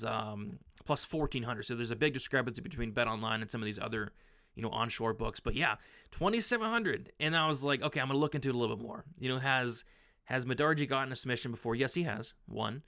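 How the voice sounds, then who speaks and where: A young man, hoarse from losing his voice, casually talking through his fight betting picks with lots of fillers and restarts. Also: mid-range voice, fairly bright tone. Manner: rambling, filler-heavy, frequent restarts. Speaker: sports betting analyst.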